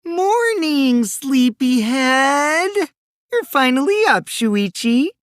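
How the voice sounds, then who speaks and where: A man's voice: extremely high pitch, sing-song, extremely slow, fairly bright tone, no echo, studio quality, exaggerated voice. Theatrical mischievous teen boy, wildly swooping voice. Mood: playful, teasing. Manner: theatrical, swinging from bratty teasing to sinister taunting. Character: mischievous, lying, childish teenage boy, self-styled evil supreme leader. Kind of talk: English video game voice acting.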